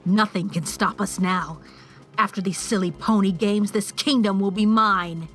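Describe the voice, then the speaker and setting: A woman's voice: medium pitch, steady tone, a little slow, fairly bright tone, lightly acted. A woman acts out a wicked changeling queen in her late teens, haughty and theatrical. She takes her time over each gloating, condescending line of this audio drama, with music playing behind her.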